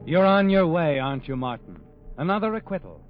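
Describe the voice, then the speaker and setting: An actor playing a sardonic, all-knowing narrator in a 1940s radio crime drama, dramatic, deliberate and needling. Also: male voice, fairly high pitch, big pitch swings, soft background music. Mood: taunting. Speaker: host and narrator. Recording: tinny narrow-band old radio recording, musical backing.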